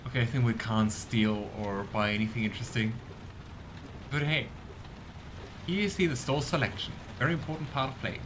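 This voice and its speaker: Chatty, bemused young gaming host. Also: male voice, medium pitch, normal speed, background noise. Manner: chatty, bemused, full of fillers like "kinda". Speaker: let's play host. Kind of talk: casual gameplay commentary.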